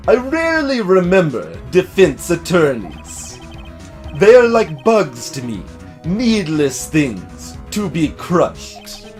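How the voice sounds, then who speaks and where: A man's voice: sits high, full character voice. A man voicing an arrogant, menacing older male prosecutor in a video game, pompous and theatrical, full of contempt. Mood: haughty.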